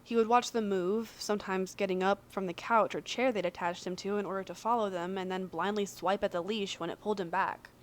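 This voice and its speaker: A woman's voice: mid-range voice, steady tone, a little fast, hardly any pauses, a little hiss or hum. Woman narrating fiction in a conversational way. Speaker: fan fiction reader. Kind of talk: expressive scripted narration.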